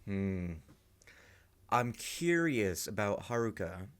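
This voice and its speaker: A man's voice: fairly low pitch, big pitch swings, takes it slowly. A man hosting a reaction video, musing aloud in casual commentary and often repeating himself.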